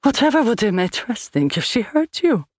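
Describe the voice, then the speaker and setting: A man voicing a flamboyant, mocking Scandinavian dealer with a sing-song accent and theatrical, drawn-out delivery. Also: very high voice, very animated, dry recording, clean studio sound, voice pushed up, exaggerated voice. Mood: mock-wounded.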